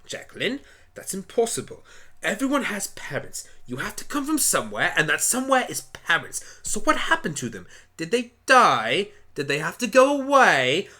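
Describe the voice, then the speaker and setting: A woman's voice: mid-range voice, very animated, moderate pace, pushed and bright, pitched above the performer's own voice. A woman voicing an eccentric, kindly male alien pony doctor, musing theatrically in a fan radio play. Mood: probing.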